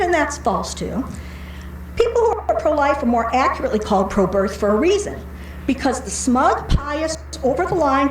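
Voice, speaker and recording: An American woman reading a prepared speech at a public meeting, argumentative and pointed, with a sardonic edge. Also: high-pitched. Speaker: public commenter at a county board meeting. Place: indoor county board meeting room. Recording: echoey meeting room with faint background noise.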